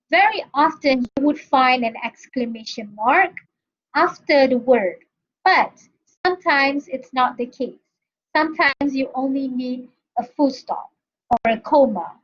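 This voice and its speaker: A woman's voice: sits high, dull audio. A woman from Malaysia with a Malaysian English accent, teaching English grammar in a recorded lesson. She explains step by step and often checks in with the class by asking "okay?". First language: not English. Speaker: university English lecturer.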